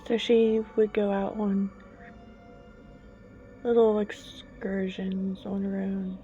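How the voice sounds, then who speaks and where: A woman narrating a scripted horror story in a slow, hushed, suspenseful manner with long deliberate pauses. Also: mid-range voice, completely flat delivery. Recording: dull lo-fi, music bed behind. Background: background music.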